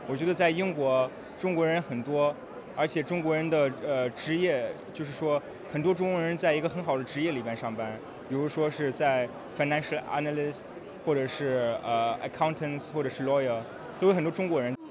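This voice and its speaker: Young male job seeker, higher-pitched, unscripted interview, crowded event background. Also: fairly quick. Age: young adult. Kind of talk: spontaneous interview answer. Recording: loud crowd behind.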